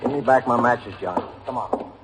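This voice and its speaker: A man's voice: medium pitch, normal speed, natural voice. An actor playing a dutiful, plain-spoken city patrolman in an old-time radio detective drama. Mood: matter-of-fact. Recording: noisy vintage radio drama recording.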